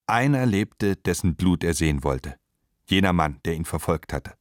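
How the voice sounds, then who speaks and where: A man's voice: low voice, expressive, normal speed, no room sound, clean studio sound. A man narrating a literary radio play from a prepared script.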